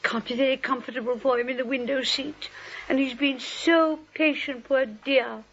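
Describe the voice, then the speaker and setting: Genteel elderly lady, fussy, insistent, raised husky voice, 1940s radio comedy. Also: sits high, steady tone, normal speed. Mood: sweetly firm. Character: genteel elderly aunt, sweetly firm. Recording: dull old radio recording.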